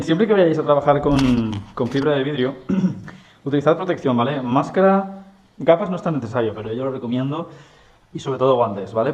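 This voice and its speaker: Spanish-accented man, garage vlogger, slow halting delivery, mid-pitched. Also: dull audio. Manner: halting, searching for English words with many fillers. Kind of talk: casual workshop talk, switching between English and Spanish. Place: home garage workshop.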